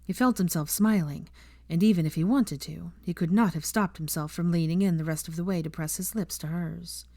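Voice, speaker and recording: A woman reading fiction aloud as an audiobook narrator, in an expressive scripted style. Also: fairly low pitch, a little fast, dry recording, clean studio sound.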